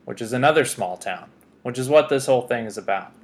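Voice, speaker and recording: A man's voice: mid-range voice, expressive, fast. A man from Tennessee hosting a casual podcast, chatty, reading facts aloud to his guest. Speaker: podcast host.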